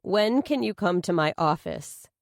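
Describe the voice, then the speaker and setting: A woman's voice: lowish voice, lively intonation, a little slow, creaky, no echo. A narrator plays a businesslike woman lawyer in an audiobook. She is guarded and pressing, evasive with answers, and sounds close and dry. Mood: urgent, evasive. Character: evasive, insistent, businesslike female lawyer on the phone.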